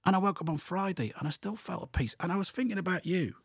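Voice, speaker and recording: Brief: a man doing a blunt southern geezer from Surrey, gruff and matter-of-fact, pitched up. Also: expressive, fast, no room sound, studio quality, full character voice. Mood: wondering. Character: chatty southern English bloke, blunt working-class man.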